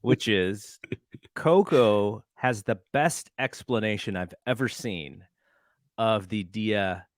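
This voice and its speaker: A man's voice: lowish voice, takes it slowly, no echo, clean studio sound. A middle-aged man co-hosting a sports podcast, talking in a casual conversational way. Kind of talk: casual chat.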